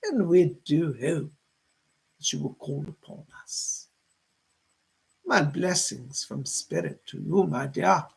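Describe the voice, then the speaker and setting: A gentle motherly female spirit acted out by a male medium speaks in a very creaky, husky voice. The delivery is soothing and effusive, full of genteel endearments, as in a séance. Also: a little high, takes it slowly, pauses often, heavily acted. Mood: benevolent, soothing.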